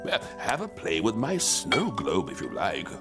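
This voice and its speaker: A man's voice: on the low side, wildly swooping pitch, a little slow, full character voice. A man voicing a kindly, cheery member of a royal household in a children's cartoon, avuncular and encouraging. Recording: loud music behind the voice.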